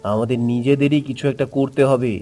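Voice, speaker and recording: A man voicing a determined, encouraging young village son in an animated cartoon story, earnest and resolute. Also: medium pitch, a little fast, soft background music, lightly acted.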